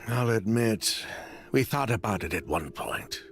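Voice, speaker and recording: A man's voice: on the low side, expressive, measured pace, soft background music. An actor voicing a weary male ex-convict in a game, explaining his past in a heavy, resigned way with frequent sighs. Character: weary male ex-convict, bitter, confessing old schemes. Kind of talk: game voice acting.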